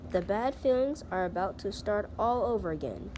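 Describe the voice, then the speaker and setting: A female student reading a children's story aloud with deliberate, expressive phrasing. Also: medium pitch, slight background noise. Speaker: student reader.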